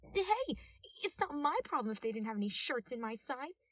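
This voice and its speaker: A woman's voice: sits high, sing-song, moderate pace, no room sound, studio quality, pitched above the performer's own voice. A woman voicing a brash, foul-mouthed tall policewoman who is exasperated and scolding, in a story. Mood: defensive, scolding.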